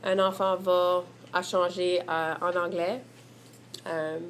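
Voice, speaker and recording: A woman's voice: lowish voice, level tone, slow, slight background noise. A woman from the Évangeline region with an Acadian French accent, speaking candidly and searchingly about family and language. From Évangeline region, Prince Edward Island. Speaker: mother sharing her testimony. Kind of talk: candid personal testimonial.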